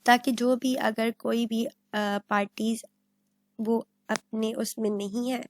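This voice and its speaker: A woman's voice: fairly high pitch, level tone, slow. A woman lecturing on legal material, explaining point by point and mixing in English terms. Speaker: law lecturer.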